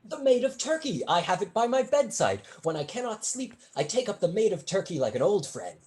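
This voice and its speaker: Here is a young actor performing a brazen, silver-tongued male tutor from a period stage comedy. The pitch swoops freely through long, elaborately formal sentences. The manner is arch, witty and smoothly flattering. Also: fairly quick, natural voice. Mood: flattering, sly. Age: young adult. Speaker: student actor.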